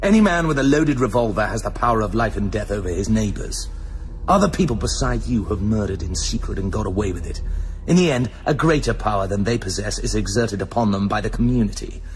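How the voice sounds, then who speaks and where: Low-voiced, defiant played by an actor. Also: male voice, lively intonation, a little fast, a little hiss or hum, close to an everyday voice. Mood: defiant, controlled. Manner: firm, controlled, challenging. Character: cool, defiant British secret agent, adult man. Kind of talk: radio drama acting.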